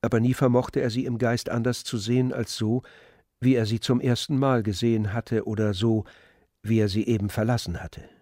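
Male narrator, medium pitch, measured scripted storytelling, composed, close dry studio sound, radio drama. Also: fairly even intonation, mellow, no room sound. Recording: dry studio with faint music.

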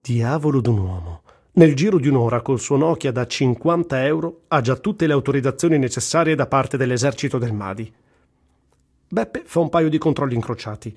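An actor playing an Italian journalist volunteering in a war, narrating in the first person in a radio reading, earthy, tender and wry, with literary delivery and blunt asides. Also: male voice, medium pitch, animated, moderate pace, a little mellow, breathy edge. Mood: wry, brisk. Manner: dramatic literary recitation with blunt colloquial asides.

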